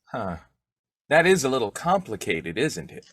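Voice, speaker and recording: Male actor as folksy, wheedling comic radio husband; very lively intonation, close dry sound. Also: medium pitch, moderate pace, no echo. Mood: wry, teasing. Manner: folksy, exclamatory, chuckling delivery. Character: boyish, wheedling, wisecracking husband of old-time radio comedy. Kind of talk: 1940s radio comedy acting.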